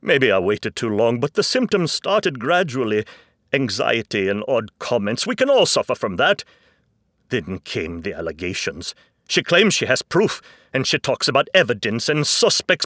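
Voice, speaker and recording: A man voicing a distraught older father in an audiobook, breaking grave family news in an upset, emphatic, halting way. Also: medium pitch, big pitch swings, a bit bright, dry recording, studio quality, pitched above the performer's own voice. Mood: anguished. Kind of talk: audiobook character dialogue.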